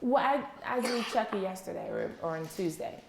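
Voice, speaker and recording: A woman's voice: medium pitch, a little fast. A woman teacher doing an interactive read-aloud for young children, patient and encouraging, prompting them with questions and repeating answers. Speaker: preschool teacher. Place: indoor classroom with children. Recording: echoey room with faint background noise.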